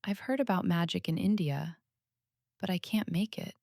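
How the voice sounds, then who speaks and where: A woman voicing an earnest, matter-of-fact little girl who speaks softly but firmly, in a storybook reading. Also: fairly low pitch, animated, normal speed, gravelly, no echo, clean studio sound, pitched above the performer's own voice. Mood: wondering.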